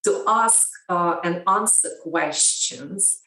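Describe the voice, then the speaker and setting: A woman from Ukraine, a lecturer, giving measured, thoughtful interview answers. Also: lowish voice, pushed and bright. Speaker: guest, education lecturer. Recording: roomy, distant mic.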